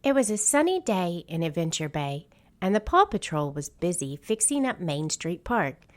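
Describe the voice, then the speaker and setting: A woman reading a children's storybook aloud as narrator, in a deliberate storytelling manner. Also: on the low side, very animated. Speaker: storybook reader.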